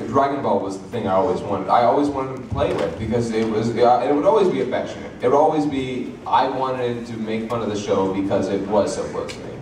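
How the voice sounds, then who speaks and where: A man's voice: medium pitch, fairly quick, few pauses. Distant, echoing man telling stories onstage. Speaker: convention panelist. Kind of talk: casual storytelling to a live audience. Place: large hotel convention panel room. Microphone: far audience camera. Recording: echoey hall, distant noisy handheld camera audio.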